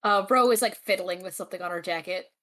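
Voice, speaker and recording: A woman's voice: mid-range voice, fast, fairly bright tone. A woman in a tabletop roleplaying game, casually narrating her character's small actions.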